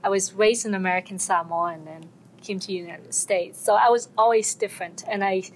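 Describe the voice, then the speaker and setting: A woman's voice: medium pitch, steady tone, fairly quick, low-quality recording. A woman with a Chinese accent sharing her experience in a group discussion, hesitant with many fillers. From China. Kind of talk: personal testimony.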